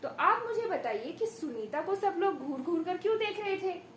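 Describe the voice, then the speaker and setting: A woman's voice: extremely high pitch, very animated, normal speed. A woman giving a demonstration lesson as a primary school teacher, expressively telling a story and prompting pupils with questions and praise. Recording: echoey room with faint background noise.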